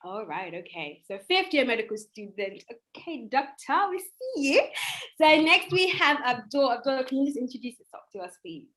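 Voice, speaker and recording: Young woman with a higher voice, chatting casually. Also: sing-song, normal speed, fairly bright tone. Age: young adult. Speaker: student host of a live online event. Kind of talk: casual, chatty livestream talk.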